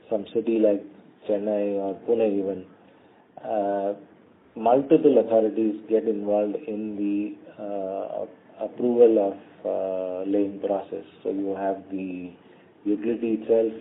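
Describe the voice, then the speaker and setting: A man with an Indian accent answering questions as a company executive on an earnings call, in a measured, halting way with many long hesitations. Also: lowish voice. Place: conference call. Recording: narrowband tinny phone conference line.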